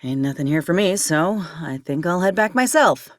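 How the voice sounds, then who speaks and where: Actress as gruff merc lady, ex combat medic in a game, guarded n sardonic, really lively pitch, dry studio sound. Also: moderate pace, dry recording, close to an everyday voice. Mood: bitter, weary. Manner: folksy, blunt, sardonic. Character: tough, guarded, weary former combat medic turned mercenary troubleshooter. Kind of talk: video game character acting.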